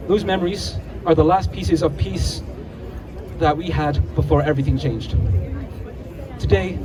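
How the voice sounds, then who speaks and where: A young man from South Lebanon, earnestly reading a prepared speech at an outdoor rally, sometimes stumbling and apologizing. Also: a little high. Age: young adult. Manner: earnest, halting, apologizes for slips. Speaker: student speaker. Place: outdoor city square rally, seated. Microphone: mic on a stand. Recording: outdoor PA with loud crowd and street noise.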